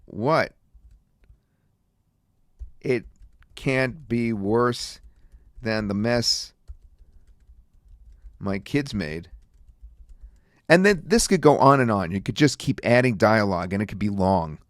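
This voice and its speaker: A man reviewing and demonstrating software in a casual, rambling way with many fillers. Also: lowish voice, lively intonation, slow pace, pauses often, no echo. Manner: casual, filler-heavy talk. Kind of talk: screen-recorded software demo.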